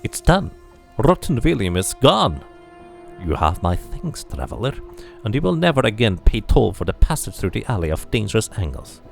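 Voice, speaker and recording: A man's voice: lowish voice, very animated, moderate pace, creaky, soft background music. A man voicing a commanding female gang leader who speaks formally and coolly. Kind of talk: video game character reading.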